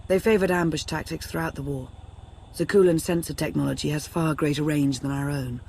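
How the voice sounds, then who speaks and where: A woman's voice: low voice, fairly even intonation, brisk, a little mellow, a little hiss or hum. A woman playing a composed, guarded adviser in a sci-fi game, speaking precisely and formally with serious, analytical delivery. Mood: grave, explaining.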